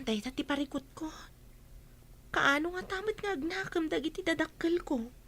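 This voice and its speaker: A woman's voice: sits high, normal speed, a bit bright, dry recording. A woman with an Ilocano accent playing an aggrieved working daughter in a radio comedy-drama. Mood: troubled, subdued. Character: aggrieved working daughter confronting her gambling parents.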